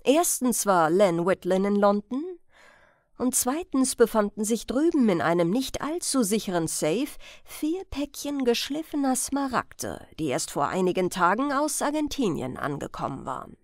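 A woman's voice: medium pitch, sing-song, moderate pace, a little mellow, no echo, clean studio sound. A woman narrating a crime audiobook as a scripted reading.

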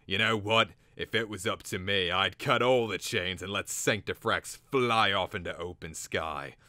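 Guy doing a wheezy grumpy storybook animal seller, gruff, scornful, loud, real dry sound. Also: deep voice, unhurried, dry recording. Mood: bitter. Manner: gruff, grumbling. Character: bitter, scornful, sweaty male animal trader.

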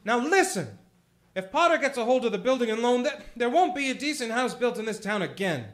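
A man's voice: very high voice, animated, brisk, fairly bright tone. A man playing an earnest pleading young manager. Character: earnest young male loan-office manager. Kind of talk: community radio play.

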